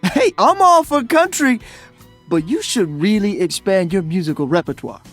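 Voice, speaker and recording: A man's voice: high voice, big pitch swings, moderate pace, a bit bright, faint music behind, full character voice. An actor voicing an upbeat, cocky young male DJ hero in a video game, breezy, exuberant and slangy. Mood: upbeat, friendly. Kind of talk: video game character voice lines.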